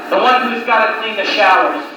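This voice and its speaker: A distant, very echoey cassette recording of a man on stage doing stand-up. His voice is strikingly high for a man. He delivers one-liners deliberately, leaving long pauses between them. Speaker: stand-up comedian. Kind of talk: live stand-up comedy set of one-liners. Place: live comedy venue. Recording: noisy cassette tape, echoey room, distant mic.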